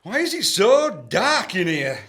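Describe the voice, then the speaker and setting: Blustering, slow-spoken lusty duke. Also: male voice, sits high, very animated, creaky, a bit bright, heavily acted. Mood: eager, amorous. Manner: pompous, bawdy, exclaiming. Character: lusty, blustering, boozy duke on his wedding night. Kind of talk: period comedy radio play acting.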